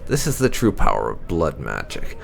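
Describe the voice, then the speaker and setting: A man voicing a coldly sinister, self-assured blood mage scholar, declaiming gravely from a fantasy game text. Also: very deep voice, wildly swooping pitch, normal speed, soft background music, voice pushed down, heavily acted.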